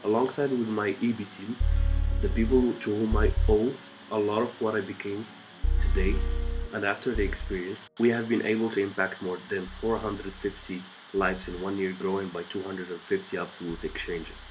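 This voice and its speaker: A man in his twenties from Morocco giving an earnest, measured personal pitch, pausing between listed items. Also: flat delivery, low-quality recording. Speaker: candidate. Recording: voice over loud background music.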